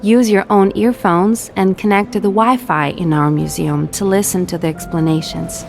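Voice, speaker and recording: A woman's voice: on the low side, steady tone, moderate pace, vocal fry, soft background music. A woman playing a polite museum attendant, giving formal customer service lines in a game story.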